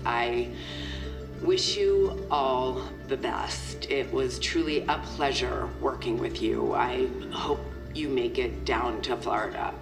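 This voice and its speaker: A woman playing a regretful boss in an audio drama, leaving a halting, earnest confidential message. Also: very deep voice, roomy sound. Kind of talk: audio fiction acting, recorded farewell message.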